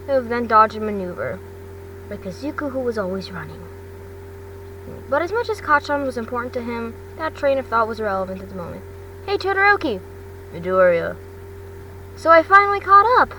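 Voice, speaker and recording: Lively high-voiced woman reading fan fiction. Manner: stumbles over words and restarts. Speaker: fan fiction reader, podfic narrator. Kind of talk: amateur audiobook narration with chatty asides. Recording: distant home mic, faint noise.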